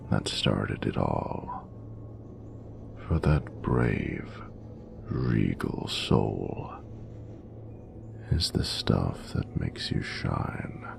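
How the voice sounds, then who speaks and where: A man voicing an ancient, regal dragon in a romantic audio roleplay, doting and flirtatious, with gravelly, breathy, often near-whispered, grandiose and formal speech. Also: extremely low pitch, exaggerated voice. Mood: tender, doting. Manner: grandiose, formal, measured phrasing. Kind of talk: romantic audio roleplay, slow intimate monologue.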